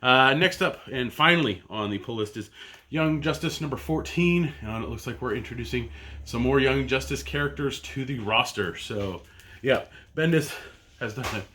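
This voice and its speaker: A man's voice: medium pitch, lively intonation, moderate pace, bright, forceful tone. A man in his thirties hosting a casual comic book show from home, rambling through his weekly picks with lots of fillers and restarts. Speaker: comic book podcast host. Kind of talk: casual review of a weekly comic pull list. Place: home basement.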